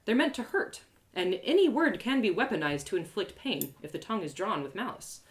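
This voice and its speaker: A woman reading a first-person fantasy story aloud in an expressive, theatrical and lyrical way. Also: medium pitch, fairly quick.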